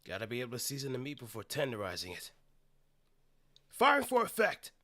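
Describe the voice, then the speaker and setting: A man's voice: medium pitch, wildly swooping pitch, fairly quick, slight background noise. A man voicing a cocky, hot-blooded robot pilot from an anime game, calling out attacks. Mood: cocky battle cry. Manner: brash, shouting attack calls.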